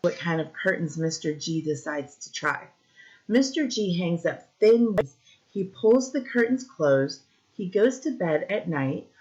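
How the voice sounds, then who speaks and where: A woman with a slightly low voice reads a story to young children in a teacher's style. She explains things in simple terms and keeps stopping to ask questions. The recording sounds a little dull and lo-fi. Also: animated, unhurried, darkish tone. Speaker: teacher reading a picture book aloud.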